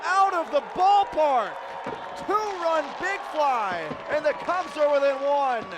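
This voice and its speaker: High-pitched American man calling live sports. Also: slow. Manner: clipped phrases, building to excited shouts. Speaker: radio play-by-play sportscaster. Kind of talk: live sports play-by-play commentary. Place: sports venue broadcast position. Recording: loud arena and crowd noise behind. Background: crowd and venue noise.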